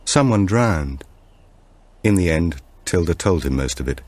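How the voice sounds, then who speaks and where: A man's voice: rumbling low, a little fast, a little mellow, husky, no room sound. A man reading scripted narration for a thriller audiobook.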